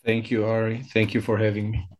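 Flat-voiced man, reflective. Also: on the low side, brisk, a little hiss or hum. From Israel. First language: Hebrew. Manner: reflective, searching for words. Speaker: guest scholar. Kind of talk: interview answers.

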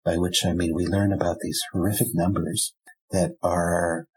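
A man whose first language is German, speaking as an author in an interview, answering in a measured, thoughtful way with frequent pauses and fillers. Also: low-pitched, little pitch movement, darkish tone, breathy edge, low-quality recording.

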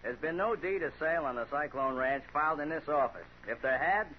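A man's voice: on the high side, a little fast, lightly acted. A man playing a fussy, emphatic records clerk in a radio western, formal and officious in speech. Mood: emphatic, certain. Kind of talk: 1950s radio western acting. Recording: noisy, muffled old radio recording.

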